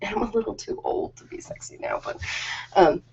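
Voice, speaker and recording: A woman's voice: medium pitch, huge pitch swings, normal speed, vocal fry, warm tone, breathy edge. A woman chatting casually and informally on a live stream, ad-libbing in a rambling, self-deprecating way. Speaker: live-stream host of ASMR and ritual videos. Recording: slightly dull lo-fi live-stream audio.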